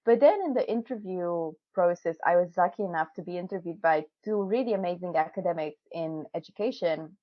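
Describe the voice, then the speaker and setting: A woman's voice: fairly low pitch, fairly quick, hardly any pauses, no room sound. A woman in her twenties whose first language is not English, talking casually in a video call interview, chatty and laughing often. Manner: chatty, laughs often, many fillers and restarts. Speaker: interviewee, PhD student. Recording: dull, dry video call audio.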